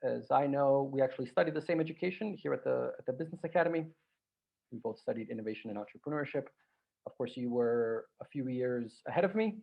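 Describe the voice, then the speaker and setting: Gravelly-voiced man hosting a podcast. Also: medium pitch, fast talker. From Croatia. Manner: relaxed, chatty, with restarts and filler. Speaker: podcast host. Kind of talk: casual interview chat. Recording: lo-fi podcast audio.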